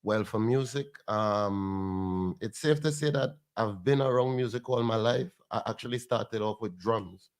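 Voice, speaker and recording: Deep-voiced Trinidadian man, confident. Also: measured pace, hardly any pauses. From Trinidad and Tobago. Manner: confident, boastful, laid-back. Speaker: soca artist guest. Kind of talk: casual interview chat.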